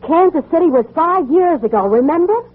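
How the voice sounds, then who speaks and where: An actress playing a patient, protective confidante of a gangster, soothing and understanding, in an old-time radio drama. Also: female voice, very high voice, lively intonation, a little fast. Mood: patient, concerned. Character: patient, protective woman, gang boss's confidante. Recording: tinny, noisy old radio recording.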